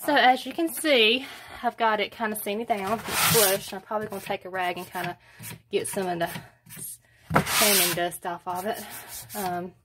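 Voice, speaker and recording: A soft-spoken woman with a Southern US accent talks low and unsure into her phone at home. Her voice sits at an ordinary middle pitch, and she fills her pauses with ums. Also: steady tone, very noisy. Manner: hesitant, many ums, plain. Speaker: homestead vlogger. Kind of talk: casual how-to vlog. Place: at home, alone with a phone camera.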